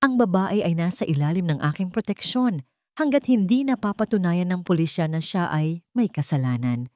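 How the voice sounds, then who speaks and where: A woman's voice: lowish voice, lively intonation, moderate pace, darkish tone, dry recording, studio quality, close to an everyday voice. Woman reading as a cold, commanding billionaire man. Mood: cold, firm.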